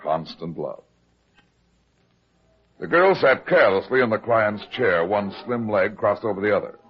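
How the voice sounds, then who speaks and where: An actor playing a hard-boiled, heavyset private detective, terse and deadpan, in old-time radio style. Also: male voice, on the low side, a little slow. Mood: brooding narration. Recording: dull, lo-fi old radio drama recording.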